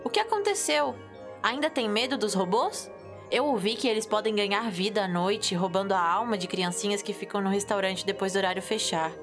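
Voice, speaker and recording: A woman's voice: mid-range voice, a little fast, over music, close to an everyday voice. A woman playing a kind, curious young girl who asks coaxing questions in an audio drama. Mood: friendly, curious.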